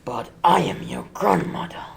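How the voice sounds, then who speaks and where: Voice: mid-range voice, animated, measured pace, creaky. An actor playing a big bad wolf who pretends to be a sick old grandmother in a children's radio play. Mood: brash.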